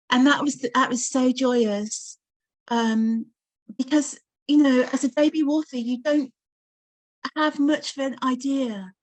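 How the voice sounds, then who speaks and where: A woman with a Coventry English accent, a novelist guest talking in a casual interview, with lots of ums and easy laughter. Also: on the high side. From Coventry, England. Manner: chatty, many ums, warm laughter.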